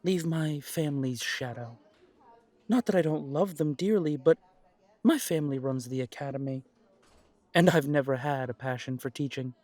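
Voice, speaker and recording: A man's voice: a little high, normal speed, a little mellow, no echo, natural voice. A man playing a polite, composed, peace-loving cafe owner in a fantasy audio drama. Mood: composed, open. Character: Trevelyan, courteous peace-loving cafe owner from a magical family. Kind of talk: audio roleplay drama acting.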